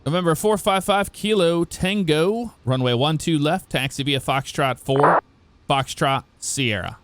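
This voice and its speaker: A man's voice: on the high side, measured pace, a bit bright. A man working as a ground controller, giving clipped, procedural air traffic control instructions. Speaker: air traffic ground controller in an online flight simulation network.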